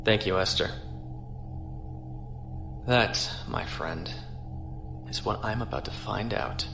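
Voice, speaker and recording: A man playing a courteous, earnest starship captain in an audio drama, speaking in a measured, formal way. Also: fairly low pitch, creaky, over music. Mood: grave.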